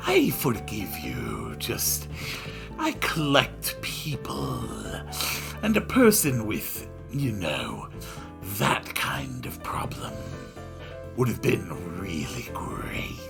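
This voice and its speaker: A man voicing an odd, blunt teenage girl in a visual novel, with a breathy, slithery half-whisper and drawn-out deadpan delivery. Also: fairly low pitch, music in the background, full character voice. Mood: languid musing.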